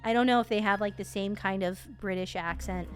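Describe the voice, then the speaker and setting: A woman streamer reacting to a game in rambling, animated, sweary casual chat. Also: mid-range voice, brisk, loud music behind the voice.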